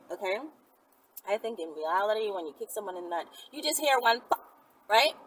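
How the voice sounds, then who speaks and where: Chatty lady vlogging, swoopy playful delivery, slangy and rambling, noisy audio. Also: a little high. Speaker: vlogger answering viewer questions. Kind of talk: casual, chatty, candid monologue to viewers. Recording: noisy, distant mic.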